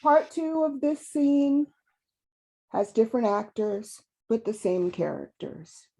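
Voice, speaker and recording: A woman's voice: on the high side, very animated, takes it slowly, vocal fry, warm tone. A woman introducing scenes as announcer at an amateur script reading.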